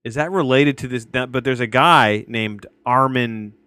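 A man's voice: mid-range voice, normal speed, pushed and bright, clean tone, no echo. A man in his late thirties hosting a podcast, reviewing a documentary in a loose, rambling way, restarting sentences and swearing often, recording at home. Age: 30s. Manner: rambling, profane, self-interrupting. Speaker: podcast host. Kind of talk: casual film review. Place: home, tree crew working outside. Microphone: headphones on, home recording.

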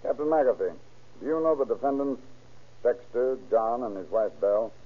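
An actor playing a formal, deliberate prosecuting attorney in an old radio courtroom drama. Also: male voice, mid-range voice, animated, close to an everyday voice. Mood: formal, probing. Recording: tinny old radio recording.

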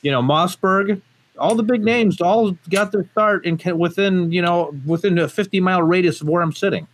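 A man's voice: high voice, normal speed, a little hiss or hum. A man born in Portugal and raised in the United States, a guest on a casual podcast interview, who talks emphatically with many fillers and restarts. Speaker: gun company guest.

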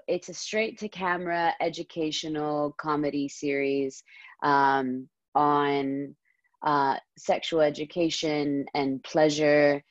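A woman's voice: sits low, no echo, dull audio. A woman from Saudi Arabia, a guest talking expressively and at length in a casual interview over a video call. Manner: expressive, talkative, laughs often. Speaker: guest, comedy series creator.

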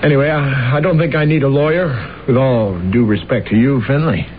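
An actor playing a vain, self-deluded assassin in an old radio drama, speaking formally and hesitantly. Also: male voice, medium pitch, fairly quick, close to an everyday voice. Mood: smug. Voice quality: hesitant, stammering. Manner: formal, self-important, courtly. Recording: muffled, noisy old radio broadcast recording.

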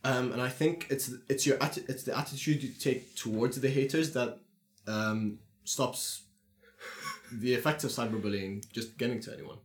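A man giving his views in a casual group discussion, speaking in a measured way with frequent ums and restarts. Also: mid-range voice, fairly even intonation. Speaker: discussion participant. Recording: echoey room.

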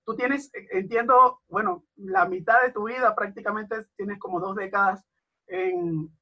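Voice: medium pitch, fairly even intonation, measured pace. An interviewer asking a guest questions and adding brief affirming remarks. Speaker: interviewer host. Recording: dull, lo-fi line.

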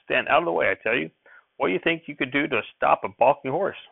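Crotchety old country grandfather played by a male reader, storybook character, scolding, raised pitch, tinny band-limited audio. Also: rapid-fire, gravelly, dry recording. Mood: furious. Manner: rustic old-time country speech, scolding. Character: crotchety, hot-tempered old farmer grandfather.

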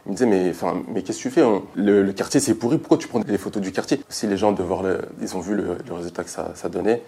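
A man in his thirties from France, a photographer speaking in a colloquial, emphatic and animated way. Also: sits low, fast talker, breathy edge. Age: 30s. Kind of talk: documentary interview testimony.

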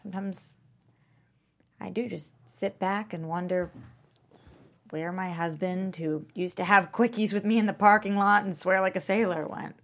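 An actress playing an anguished, guilt-ridden wife in a dramatic script read, speaking in a halting, naturalistic way. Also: female voice, mid-range voice, steady tone, fairly bright tone, close to an everyday voice. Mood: weary, pained. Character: anguished, guilt-ridden wife caring for her sick friend.

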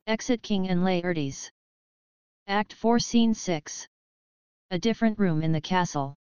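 A woman's voice: on the low side, slow, darkish tone, clear, resonant tone, no room sound, dull audio. A woman narrating, reading stage directions in a scripted style.

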